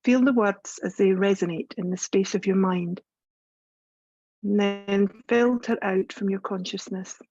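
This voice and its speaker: A woman yoga teacher narrates a guided meditation. Her voice is mellow and relaxed at a medium pitch, with soothing, unhurried instructions. The recording is dry and a little dull. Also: no room sound.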